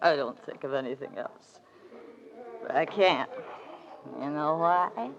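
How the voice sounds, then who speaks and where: An actress playing a bitter, lonely, overwrought wife of about thirty, taunting and volatile, in 1940s radio melodrama style. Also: female voice, low-pitched, takes it slowly, muffled recording. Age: around 30.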